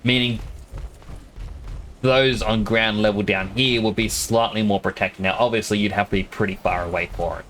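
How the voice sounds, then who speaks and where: A man's voice: lowish voice, steady tone, normal speed, fairly bright tone, a little hiss or hum. A man from Australia with an Australian accent blended with some American, chatting casually and explaining things at length while streaming a game. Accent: Australian, mixed with American. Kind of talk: casual live-stream commentary.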